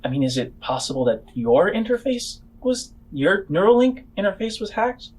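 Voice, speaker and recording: A man narrating as game master of a tabletop role-playing game, phrasing things haltingly and deliberately with pauses. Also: high-pitched, very animated, breathy edge. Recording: music behind the voice. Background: music.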